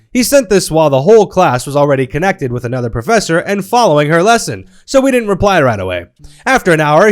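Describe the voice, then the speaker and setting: A man reading online stories aloud in a playful, wry storytelling manner. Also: high voice. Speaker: narrator reading Reddit stories.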